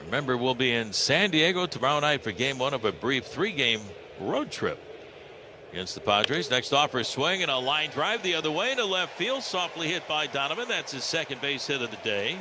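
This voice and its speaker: A man's voice: mid-range voice, lively intonation, normal speed. American baseball play-by-play man, noisy broadcast. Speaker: baseball radio play-by-play announcer. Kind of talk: live play-by-play commentary. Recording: radio broadcast with clear background noise.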